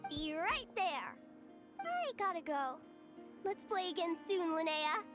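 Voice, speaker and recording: Very high, eager little waitress girl. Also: big pitch swings, slow, music in the background, heavily acted. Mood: bright, hurried. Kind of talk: English video game voice acting.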